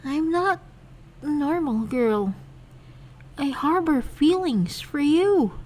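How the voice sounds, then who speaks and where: Brief: female reader as timid young anime woman in love, high and slow, halting, easily flustered. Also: lively intonation, a little mellow. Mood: bashful confession. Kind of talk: acted dialogue in fan fiction reading.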